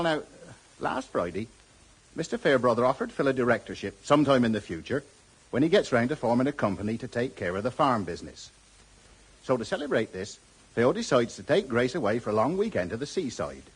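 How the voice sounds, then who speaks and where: A man's voice: medium pitch, breathy edge, low-quality recording. An actor playing an old-fashioned countryman with a rural West Country English accent, chattily narrating village news in a radio drama. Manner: chatty, homespun, rambling rustic. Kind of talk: radio drama storytelling monologue.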